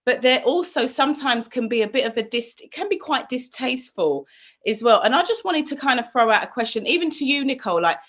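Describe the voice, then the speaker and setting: A woman on a panel asking a considered question, talking thoughtfully and softening her points with "kind of". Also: high voice, lively intonation, a little fast, dull audio. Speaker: panel participant. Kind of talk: panel discussion.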